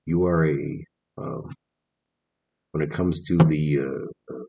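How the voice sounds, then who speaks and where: A man in his forties with a very low, gravelly voice talks earnestly to camera, rambling and restarting as he goes. His tone is husky, and the audio is dull and lo-fi. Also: slow pace. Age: 40s. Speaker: vlogger. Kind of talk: casual vlog monologue. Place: indoors, on camera.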